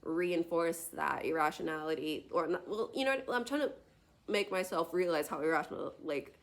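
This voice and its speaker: A woman's voice: lowish voice, moderate pace. Late-twenties woman rambling at her tablet cam, tangents everywhere, lots of "like". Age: late 20s. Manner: tangential, filler-heavy, many "like" and "you know what I mean". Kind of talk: rambling solo vlog monologue. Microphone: tablet camera.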